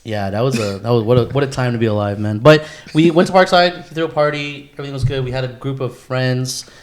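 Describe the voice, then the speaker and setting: A man's voice: medium pitch, fast. An American man in casual, slangy chat, swearing freely as he reminisces with friends. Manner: slangy, profane, addresses friends as bro and dude. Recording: echoey room with clear background noise.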